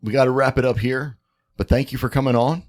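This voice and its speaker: A man's voice: medium pitch, steady tone, fast, darkish tone. A man hosting a comedy podcast, chatting in a dry, teasing way and steering the conversation. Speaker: podcast host. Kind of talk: casual podcast chat.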